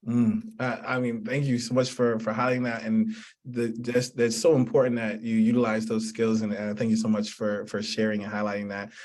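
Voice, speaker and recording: A man's voice: lowish voice, completely flat delivery, fairly quick, a little mellow. A man hosting a student panel, speaking unscripted and thanking and affirming each speaker. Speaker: panel host and moderator.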